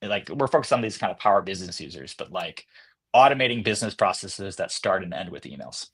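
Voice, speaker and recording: A man's voice: sits low, expressive, fast talker, a bit bright, husky. A man speaking casually as a company executive guest in an interview, feeling his way through his answers as he talks.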